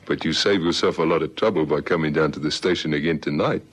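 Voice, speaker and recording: An actor playing a wily, unruffled ex-convict with a smooth, folksy, evasive way of talking in a vintage radio crime drama. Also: male voice, very deep voice, quick pace. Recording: vintage radio recording, faint noise.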